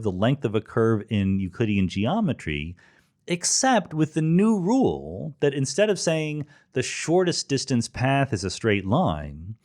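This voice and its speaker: A man hosting a solo talk, explaining in a didactic but conversational way with emphatic stresses. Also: mid-range voice. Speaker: podcast host and physics explainer. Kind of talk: solo explanatory science talk, informal lecture.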